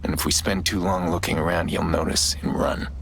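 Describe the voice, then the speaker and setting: An actor playing a gruff, weary middle-aged vigilante hacker in a video game, terse and guarded, with a gravelly, breathy voice. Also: male voice, fairly even intonation, a little fast, slight background noise. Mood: focused. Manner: terse, low-key, brooding. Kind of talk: dramatic game cutscene acting. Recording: music behind the voice.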